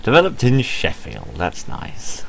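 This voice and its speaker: A man's voice: low-pitched, wildly swooping pitch, unhurried, gravelly, a little hiss or hum. A man reading a visual novel aloud as a game host, mixing playful, dramatic delivery with casual commentary. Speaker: host of a game playthrough channel. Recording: music behind the voice. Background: game music.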